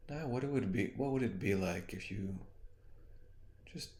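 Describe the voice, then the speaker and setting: A man leading a guided meditation as a teacher, speaking in a soothing, gently suggestive way with long, unhurried pauses. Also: lowish voice. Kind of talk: guided meditation, hypnotic spoken guidance.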